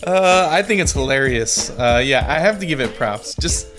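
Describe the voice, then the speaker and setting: A man's voice: mid-range voice, expressive, moderate pace, fairly bright tone, soft background music. A man chatting casually as a podcast co-host. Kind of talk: casual chat.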